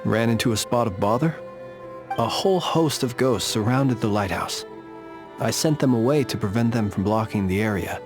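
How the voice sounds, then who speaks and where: A calm, courteous and mysterious guardian from a video game, voiced by a man. He speaks formally and evenly in a mid-pitched voice, with music clearly behind him. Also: normal speed, natural voice. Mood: composed. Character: composed, courteous, enigmatic male lighthouse guardian.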